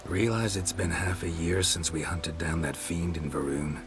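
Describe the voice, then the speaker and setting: An actor playing a gruff, world-weary and terse monster hunter in a video game, speaking in a deadpan, gravelly murmur. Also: male voice, low-pitched, normal speed, over music. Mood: dry, wry. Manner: deadpan, terse, clipped sentences.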